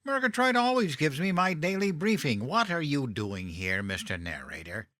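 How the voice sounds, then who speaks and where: An actor playing a cheerfully oblivious, pompous President in a comedic audio drama, musing in a self-satisfied, puzzled way. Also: male voice, on the high side, sing-song, normal speed, sharp tone, dry recording, clean studio sound.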